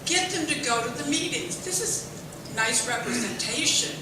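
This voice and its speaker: A woman from the audience making a loud, passionate public comment at a community meeting, urging people to act. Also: high-pitched, expressive, moderate pace. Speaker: audience member. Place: public library meeting room. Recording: echoey room from far off with noise.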